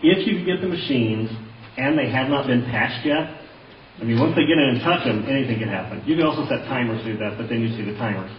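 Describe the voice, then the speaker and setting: Distant, echoing audio of a man testifying at a hearing. He talks quickly in a medium-pitched voice and gives blunt, plain answers as a witness. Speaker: witness testifying on voting software. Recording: roomy, distant, dull lo-fi hearing audio, faint noise.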